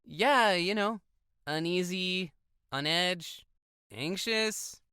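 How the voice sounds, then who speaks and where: A woman playing a playful, excitable teenage kid who loves comics, in a slangy audio drama performance. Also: fairly low pitch, drawn-out words, clean tone, no room sound, studio quality, exaggerated voice. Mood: concerned. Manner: slangy, animated. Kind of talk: naturalistic audio drama acting.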